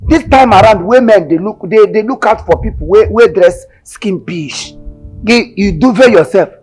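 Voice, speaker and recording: A man plays a compound sweeper who rants in loud Nigerian Pidgin. His voice is high for a man. Soft music plays behind. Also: normal speed, slightly rough. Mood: ranting. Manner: loud, grumbling rant. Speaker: actor in a comedy skit.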